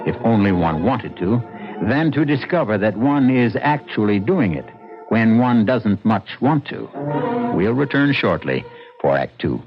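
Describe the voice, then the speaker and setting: Low, animated radio mystery narrator. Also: male voice. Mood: wry, ominous. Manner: deliberate, wry, dramatic. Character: urbane, wry male mystery storyteller. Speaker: narrator and host. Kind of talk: old-time radio scripted narration. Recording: muffled old radio recording, music behind.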